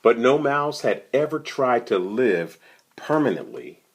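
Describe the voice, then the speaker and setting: A man's voice: medium pitch, big pitch swings, a little slow, husky. A man reading a picture book aloud to children, conversationally, with casual asides and questions to the listener. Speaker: storytime reader.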